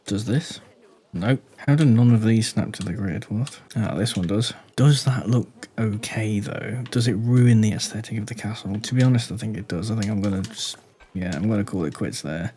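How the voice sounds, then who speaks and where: A man giving casual commentary on a game build, speaking deliberately low and quiet while he thinks aloud and asks the viewer questions. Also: slight background noise. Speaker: gaming YouTuber.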